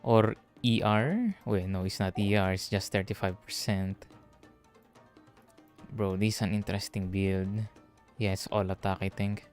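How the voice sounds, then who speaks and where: Low-pitched man streaming a game. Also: measured pace, faint music behind. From Philippines. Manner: muses aloud, mildly swearing. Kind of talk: casual live gameplay commentary.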